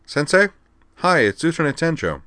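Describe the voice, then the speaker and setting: A man's voice: on the low side, lively intonation, moderate pace, a bit bright, no echo, pitched above the performer's own voice. A man voicing an eager, earnest young woman student in an audiobook, talking in a chatty, slightly sheepish way. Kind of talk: audiobook character voice.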